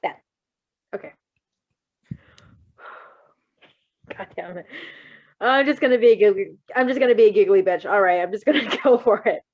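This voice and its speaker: A woman reading fan fiction aloud in a casual, giggly, expressive way, breaking into chatty asides. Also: mid-range voice, moderate pace, pauses often, lo-fi sound. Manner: giggly, expressive, exclaiming and swearing. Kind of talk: giggly live read-aloud with casual chat.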